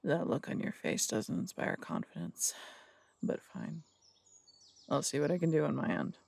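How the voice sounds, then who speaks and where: Gruff no-nonsense cop in an audio drama, voiced by a man, blunt threats, gravelly fry, fairly high for a man. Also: big pitch swings, normal speed, no echo, heavily acted. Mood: stern. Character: stern adult male cop who runs the morgue. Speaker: detective.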